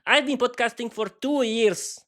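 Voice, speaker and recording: Slovak guy in his thirties rambling on his own podcast, super excited, stumbles and repeats a lot. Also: very high-pitched. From East Slovakia. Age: 30s. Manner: enthusiastic, halting, repeats words and cheers. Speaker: podcast host. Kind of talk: improvised solo podcast monologue.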